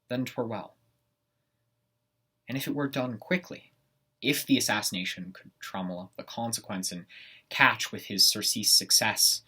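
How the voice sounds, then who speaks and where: Casting: male performer as an ambitious, haunted Shakespearean noble, very deep and rough-edged, weighty theatrical delivery for a stage monologue. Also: bright, forceful tone, full character voice. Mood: agonized deliberation. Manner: theatrical, deliberate Shakespearean soliloquy with weighted pauses.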